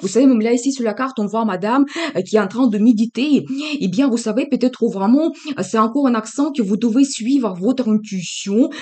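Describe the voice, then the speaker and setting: Mellow, hesitant woman reading tarot. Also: on the high side, brisk. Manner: hesitant, many filler sounds, reassuring. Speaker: tarot card reader. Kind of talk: tarot reading addressed to the viewer.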